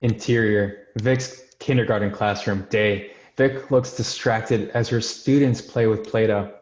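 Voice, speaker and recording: A man's voice: fairly low pitch, steady tone, a little slow, a little mellow. A man reading out stage directions as scripted narration at a screenplay table read. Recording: echoey, muffled video call audio.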